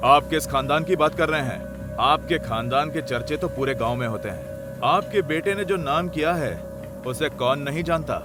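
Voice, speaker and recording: An actor playing a shrewd, composed police inspector in a motion comic mystery, questioning in a pointed, insistent way. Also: male voice, a little high, normal speed, lightly acted. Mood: accusing. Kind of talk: Hindi motion comic acting. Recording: music behind the voice. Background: music.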